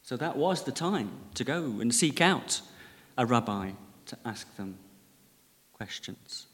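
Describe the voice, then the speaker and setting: A man's voice: mid-range voice, lively intonation. Man preaching in a reverberant church. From Lancashire, England. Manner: gentle, measured, conversational with dry humour. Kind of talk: sermon. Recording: long reverb of a large church.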